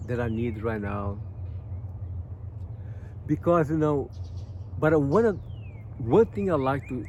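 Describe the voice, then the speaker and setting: Earnest Brazilian man, halting English. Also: mid-range voice, a little mellow, slight background noise. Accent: Brazilian Portuguese. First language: Portuguese. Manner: earnest, repeats "you know what I mean", halting restarts. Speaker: massage therapist sharing life lessons. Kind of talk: casual personal talk to viewers.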